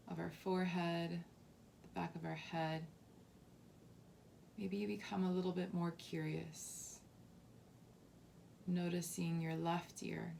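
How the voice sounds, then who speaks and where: A woman leading a guided body scan meditation as a teacher, softly and calmly, with unhurried phrasing and long pauses. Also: lowish voice, gravelly, slight background noise. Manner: soft, unhurried, calming instruction with long pauses.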